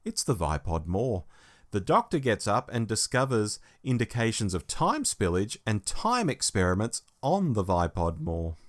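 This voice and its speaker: Man summarising a story, lively pitch swings. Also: a little slow. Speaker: podcast host. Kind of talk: reading plot summary, review podcast.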